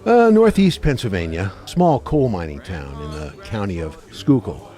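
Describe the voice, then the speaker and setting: A man's voice: sits low, big pitch swings, moderate pace, mellow, faint music behind. A man playing a skeptical, wisecracking hunter in a radio play, teasing and sociable. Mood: jovial, teasing. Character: wisecracking, skeptical hunting buddy, adult man.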